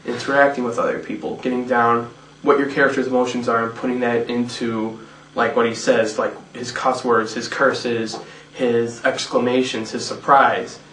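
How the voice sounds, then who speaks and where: A man's voice: medium pitch, little pitch movement, moderate pace, fairly bright tone, distant mic, a little hiss or hum. A man who acts in a radio play, talking casually and thoughtfully about his role in an interview. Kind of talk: casual behind-the-scenes interview. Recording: roomy, away from the mic.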